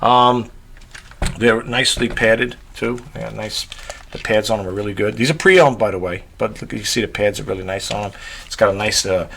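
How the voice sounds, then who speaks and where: A man talks through a casual tutorial vlog with a gravelly, monotone voice. He rambles, circling back with fillers and false starts as he explains things to his viewers. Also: fairly low pitch, moderate pace, a little hiss or hum. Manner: rambling, many fillers and restarts. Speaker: content creator.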